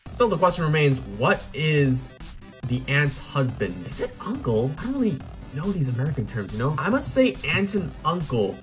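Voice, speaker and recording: A man's voice: a little high, big pitch swings, moderate pace, music in the background. A man in his twenties, from South Korea, chatting animatedly and exclaiming at his own mistakes while he works through a language-learning app. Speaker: YouTuber teaching Korean. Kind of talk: casual commentary while playing a language app. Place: small room indoors at night.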